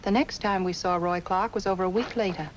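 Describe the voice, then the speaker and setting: A woman's voice: fairly low pitch, steady tone, fairly quick, natural voice. A woman playing an anxious, earnest dental assistant in a 1950s film noir, on a noisy old soundtrack. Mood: earnest, recalling. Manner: earnest, defensive.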